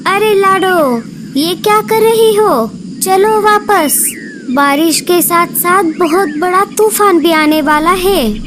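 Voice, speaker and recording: A woman's voice: extremely high pitch, takes it slowly, noisy background. A woman voicing a fussy elderly aunt bird in a cartoon, scolding her niece with urgent concern.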